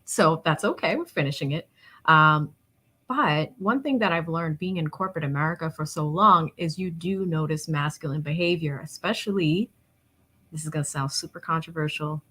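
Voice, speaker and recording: A middle-aged woman co-host with a slightly low voice speaks casually about her own experience. She is conversational, often checking that listeners follow along. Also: fairly bright tone. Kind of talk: casual personal talk.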